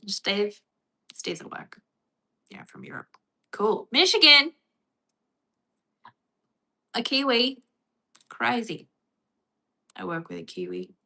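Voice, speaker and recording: A woman's voice: mid-range voice, pushed and bright. An Australian woman chatting casually on a livestream, reading and answering viewer comments. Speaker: livestream host.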